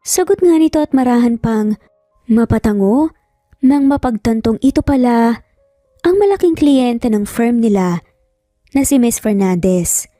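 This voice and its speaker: Woman, Filipino accent, story narrator, scripted romance delivery, slightly high pitch, soft mellow tone, faint music behind. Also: no echo, clean studio sound. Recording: background music under voice.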